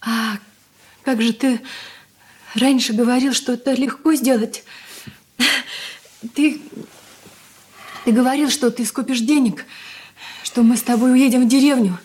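An actress playing a timid, lovelorn young woman, halting and tearfully pleading, in a Soviet radio play. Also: fairly high pitch, steady tone, a little mellow, husky. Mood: hurt, pleading.

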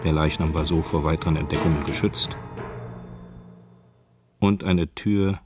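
A man's voice: very deep voice, steady tone, a little slow, music in the background. A man reading scripted narration for a radio drama.

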